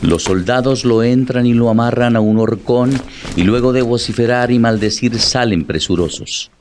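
A man narrating a literary drama in a solemn, deliberate way, with music behind his voice. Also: mid-range voice, a little mellow, slight background noise.

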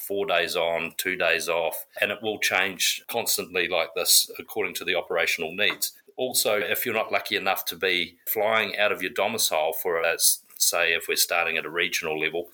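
A man's voice: low-pitched, steady tone, normal speed, hardly any pauses, bright, forceful tone. A man from New Zealand who grew up in South Africa, a corporate pilot answering interview questions conversationally. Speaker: corporate pilot, podcast guest.

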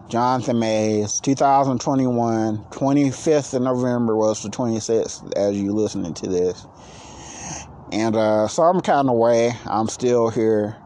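A man in his late thirties hosting a casual solo podcast, who says he is low on energy and talks in a rambling, halting way with many restarts. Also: darkish tone, slight background noise. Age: 30s. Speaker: podcast host.

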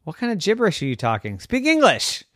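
A storyteller voicing a blunt, fussy, kelp-loving talking sea turtle with high squealing outbursts in a bedtime story. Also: wildly swooping pitch, quick pace, a bit bright, dry recording, clean studio sound. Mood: indignant.

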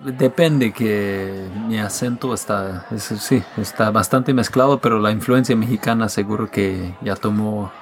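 A man from Quebec whose first language is French, speaking as a guest musician in a relaxed interview. His voice sits low and has a gravelly, creaky texture. He speaks in a halting, thoughtful way, pausing on fillers. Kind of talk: casual interview.

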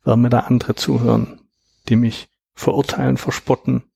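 A man in his thirties, a guest in an interview, explaining at length in a reflective, measured way with a gravelly, husky voice. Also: medium pitch, flat delivery, warm tone, no room sound. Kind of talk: conversational interview.

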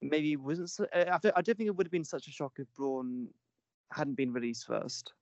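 A man's voice: on the high side, fairly quick, darkish tone, no room sound, dull audio. A young man hosting a podcast in casual chat, jokey and self-mocking, often stumbling and restarting his words. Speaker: podcast host.

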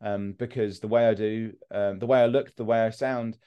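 A man's voice: lowish voice, fairly even intonation, normal speed, clear, resonant tone, lo-fi sound. A man, a mental health content creator, talking bluntly and at length in a candid interview. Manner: blunt, rambling, self-deprecating.

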